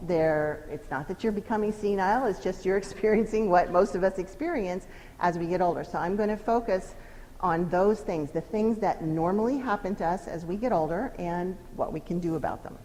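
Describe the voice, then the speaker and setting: A middle-aged woman giving a psychology lecture in a lively, emphatic, conversational teaching manner. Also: fairly low pitch, moderate pace. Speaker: psychology professor giving a talk. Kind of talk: lecture presentation. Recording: long hall reverb, faint noise.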